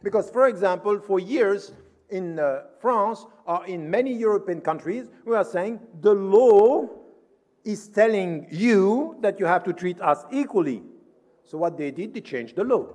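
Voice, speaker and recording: Male conference speaker, high-pitched voice, emphatic and didactic, argues formally, long hall reverb. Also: expressive, a little slow, a little mellow. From Switzerland. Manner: emphatic, didactic, poses questions and answers them. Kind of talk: formal conference lecture, argumentative.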